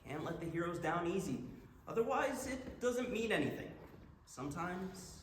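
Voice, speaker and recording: A man's voice: sits high, very animated, moderate pace. A young man playing an earnest, argumentative college student game master in a staged play reading. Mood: earnest, defensive. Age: young adult. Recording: echoey hall, long reverb, background noise.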